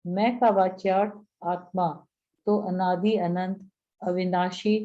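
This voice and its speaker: A woman reading a spiritual text aloud to an online class in a slow, measured way and then explaining it. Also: lowish voice, level tone, warm tone. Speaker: class reader. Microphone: video call. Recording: dull lo-fi online call audio.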